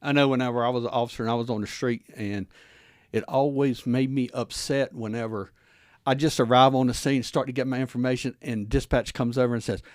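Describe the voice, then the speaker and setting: Man hosting, mid-pitched, dry sound. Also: fairly even intonation, brisk, dry recording. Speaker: guest host, former police officer. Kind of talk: conversational interview hosting.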